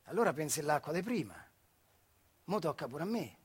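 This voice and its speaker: An actor playing a bitter, weary retired factory worker in a theatrical monologue, with a central Italian accent from the Marche, swinging between muttering and outbursts. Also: male voice, on the high side, big pitch swings, brisk, slightly rough, exaggerated voice. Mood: brooding, confiding. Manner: colloquial, rhetorical questions, swings from muttering to outbursts. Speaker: stage actor. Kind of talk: theatrical monologue in dialect-tinged speech.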